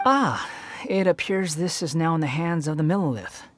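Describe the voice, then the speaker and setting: A woman's voice: deep voice, normal speed, slight background noise, close to an everyday voice. A woman playing a polite, composed, scholarly traveler in a video game, with formal and courteous phrasing. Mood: courteous, detached.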